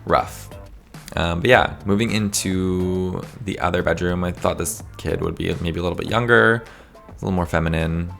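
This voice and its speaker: A young man from Canada, slightly hoarse from losing his voice, giving a chatty, rambling voice-over commentary with lots of "like". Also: sits low, steady tone, moderate pace, a bit bright, faint music behind. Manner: chatty, rambling, says "like" often. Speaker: video game builder, channel host.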